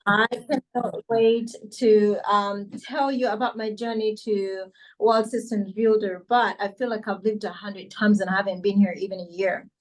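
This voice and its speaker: A woman's voice: mid-range voice, steady tone, normal speed, rough recording. A middle-aged woman with a Ugandan accent, speaking informally and directly to an online audience as she gives a welcome and testimonial. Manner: chatty, conversational, addressing listeners directly. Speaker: speaker introducing a coach at an online financial seminar.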